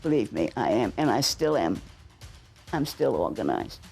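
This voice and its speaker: A woman's voice: rumbling low, very animated, moderate pace, vocal fry, darkish tone, slightly rough, soft background music. A woman in her nineties with a New England accent, telling her own stories in a chatty interview. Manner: chatty storytelling with asides. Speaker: veteran interviewee. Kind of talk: reminiscing in an interview.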